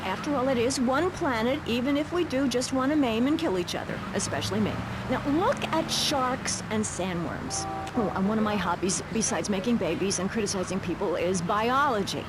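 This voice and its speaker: A woman's voice: fairly high pitch, lively intonation, normal speed, few pauses, close to an everyday voice. An actress playing a judgmental, easily flustered suburban mother ranting on a satirical talk radio panel in a video game. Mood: brittle, preachy. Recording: loud street and car noise behind.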